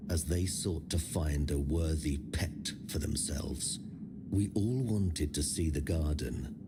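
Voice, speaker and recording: An actor playing a weary, haunted lone survivor in a dark fantasy game, brooding and theatrical, with hushed, breathy phrase endings. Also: male voice, very deep voice, heavy background noise, exaggerated voice. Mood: weary, bitter.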